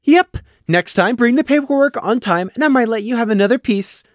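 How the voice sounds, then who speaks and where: A man voicing a bubbly, pushy female unicorn mayor who chatters brightly and bosses everyone around. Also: high-pitched, very animated, no room sound, clean studio sound, voice pushed up. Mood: bubbly bossy. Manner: bright, bossy, rapid-fire chatter.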